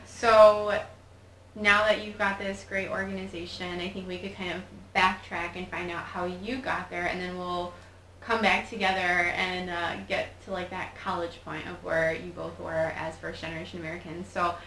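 A woman's voice: fairly low pitch, fairly even intonation, moderate pace, reverberant, slight background noise, poor audio. A woman hosting an interview, whose first language was Polish, affirming her guests often. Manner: warm, affirming with frequent mm-hmms. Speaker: host. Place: studio.

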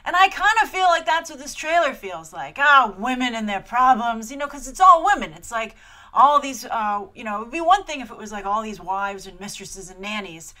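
30s woman host, chatty reaction review, bright and slightly high, animated, webcam in a small room. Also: brisk. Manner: animated, chatty, laughs often. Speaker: movie trailer reviewer host. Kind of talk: casual trailer reaction.

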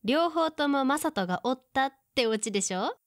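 A woman's voice: sits high, expressive, moderate pace, no echo, studio quality. A woman playing a teasing, perceptive woman in her mid-thirties who puts on cheer, in casual audio drama dialogue.

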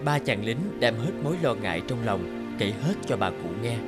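A man reading a cartoon fairy tale aloud as its narrator, in a steady storybook manner, with music playing under his voice. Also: mid-range voice, moderate pace. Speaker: narrator voicing all characters. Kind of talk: cartoon storytelling, one reader doing all voices.

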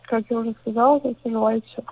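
A woman's voice: a little high, steady tone, fast talker, no room sound. A woman working as a customer support agent on the phone, polite, formal and scripted. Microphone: telephone. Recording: dull, dry phone line.

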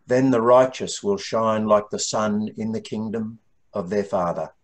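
An older man reads scripture aloud in a solemn, measured way. His voice sits fairly low and he takes his time, speaking very slowly. It is recorded indoors at home on a computer mic. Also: fairly even intonation. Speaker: Gospel reader at an Anglican service. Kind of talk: scripture reading. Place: small room at home, indoors.

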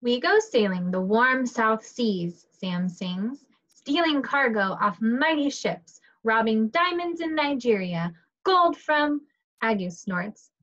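A woman's voice: on the high side, expressive, slow, fairly bright tone. A woman teacher reading a picture book aloud to young children over a video call. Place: indoors, on a group video call. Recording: dull, muffled video call audio.

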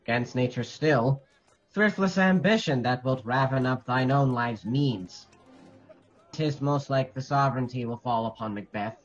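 A man acting a worried nobleman in a radio play, with a mid-pitched voice. The sound is slightly muffled, with faint music behind him. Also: measured pace, natural voice. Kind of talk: amateur radio drama acting.

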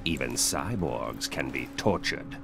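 A man voicing a sinister, sadistic, coolly superior demon sorcerer in a fighting game, condescending and gravelly. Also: extremely low pitch, expressive, a little slow, loud music behind the voice, heavily acted. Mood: sinister calm. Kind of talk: video game fighting dialogue.

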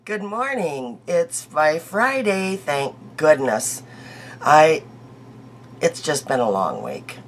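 A middle-aged woman vlogging her daily makeup in a small bedroom, chatty and homey, her voice hoarse from a cold. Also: deep voice, sing-song, takes it slowly, a little hiss or hum. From United States. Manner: chatty, homey, laughs easily, trails off. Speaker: beauty vlogger. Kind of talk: casual daily makeup chat to camera.

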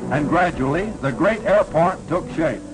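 Male newsreel narrator, 1940s, high-pitched, formal and declamatory, vintage film sound, loud orchestral music behind. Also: lively intonation, moderate pace, low-quality recording.

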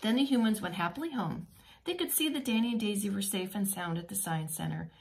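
A woman preschool teacher reading a children's picture book aloud in an expressive, teacherly way with simple asides. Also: mid-range voice, fairly quick, gravelly.

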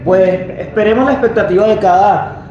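A man's voice: sits high, brisk. Colombian man, muffled echoey recording. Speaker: panel guest. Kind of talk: casual chat. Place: small motorbike workshop, indoors. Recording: muffled, echoey room with background noise.

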